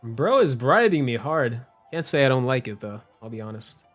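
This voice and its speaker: Casting: young male streamer, average pitch, casual and slangy, joking through live gameplay over background music. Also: big pitch swings, normal speed. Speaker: game streamer. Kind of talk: casual live game commentary, reading dialogue aloud. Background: game background music.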